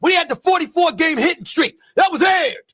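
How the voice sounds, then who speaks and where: A man's voice: very high-pitched, animated, brisk, fairly bright tone, no room sound, shouting. A man delivering an impassioned, indignant sports rant, hammering points with repetition and rhetorical questions. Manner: indignant, emphatic, rhetorical questions, repeats phrases.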